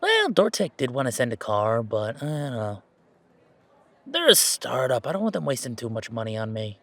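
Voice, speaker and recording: A man's voice: mid-range voice, wildly swooping pitch, normal speed, fairly bright tone, dry recording, heavily acted. Man acting a chatty, anxious young guy, dry close sound. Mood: fond, rambling. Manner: chatty, hesitant, rambling. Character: affectionate, anxious, self-deprecating young gamer, wary of family. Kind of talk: conversational audio roleplay acting.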